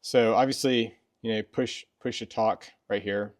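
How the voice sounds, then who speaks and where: A man's voice: lowish voice, steady tone, a little slow. Casual man hosting a review video. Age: middle-aged. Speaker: video host. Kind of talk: casual product review chat.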